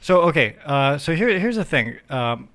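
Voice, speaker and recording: Man, podcast host, relaxed rambling chat, easygoing. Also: on the high side, normal speed. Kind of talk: casual chat.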